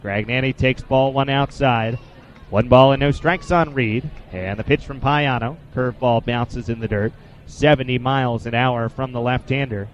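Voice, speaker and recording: A young man works as a play-by-play sportscaster on a radio baseball broadcast. His voice sits at a medium pitch. He describes each pitch in a measured way and fills the gaps with statistics. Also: steady tone, a little hiss or hum. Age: 20s.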